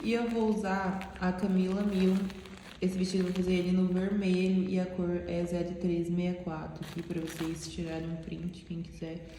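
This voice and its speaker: A woman with a blocked, nasal voice teaches crochet, walking through each step and checking in with "tá?" and "né?". Her tone is mellow and soft. The sound rings in a very echoey room with a long tail of reverb. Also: on the low side, flat delivery, moderate pace, a little hiss or hum. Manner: chatty, explains step by step, asks "né?" and "tá?". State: stuffy nose. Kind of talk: casual tutorial talk.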